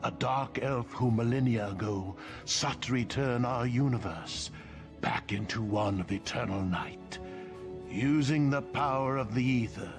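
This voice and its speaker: A man's voice: mid-range voice, close to an everyday voice. A man voicing a grave ancient king telling legend. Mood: solemn. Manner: grave, measured storytelling. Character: ancient solemn king recounting old legend. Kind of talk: scripted cutscene narration. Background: music.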